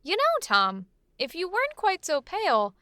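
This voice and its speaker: A woman's voice: very high-pitched, huge pitch swings, moderate pace, sharp tone, no room sound, heavily acted. A woman acting in an old-time radio drama as a pert, frank young lady with a teasing manner.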